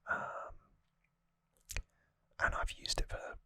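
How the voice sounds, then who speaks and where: An ASMR host speaking in a breathy, unvoiced whisper, gentle and earnest, slightly rambling to viewers, close on the microphone. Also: slow, no room sound. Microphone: close microphone in a dry space.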